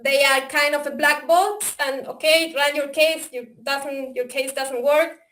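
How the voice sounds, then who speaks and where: High-voiced Argentinian woman presenting. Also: steady tone, measured pace, a bit bright. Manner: hesitant, many fillers and restarts. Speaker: software developer presenting. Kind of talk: webinar presentation. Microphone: video call.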